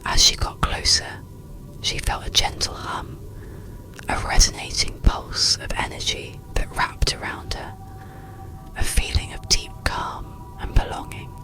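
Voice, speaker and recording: A narrator reading a bedtime story in a soothing, gentle, breathy ASMR whisper, with deliberate phrasing. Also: poor audio.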